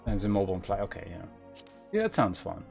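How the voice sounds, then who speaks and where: A man's voice: low-pitched, very animated, fast talker, vocal fry, darkish tone. A man livestreaming a game, chatting informally as he thinks aloud and reads game text. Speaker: game streamer. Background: game music.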